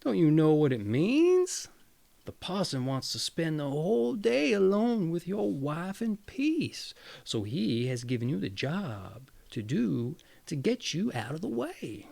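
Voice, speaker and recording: A man's voice: on the high side, huge pitch swings, a little slow, gravelly, darkish tone, full character voice. Man playing a shrewd, knowing egg merchant. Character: shrewd, blunt male egg merchant friend.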